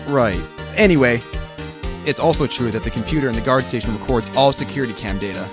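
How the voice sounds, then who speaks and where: A man's voice: medium pitch, fast, close to an everyday voice. A man playing an earnest, persistent young defense lawyer in an amateur fan dub of a game, questioning pointedly. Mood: reasoning. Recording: music playing behind the voice.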